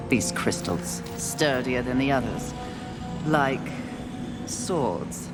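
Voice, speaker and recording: Casting: imperious female game warrior, sardonic, battle-hungry, unhurried delivery, over loud music. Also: very deep voice, lively intonation, full character voice. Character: Fury, haughty, battle-hungry female apocalyptic horseman. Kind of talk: video game voice acting.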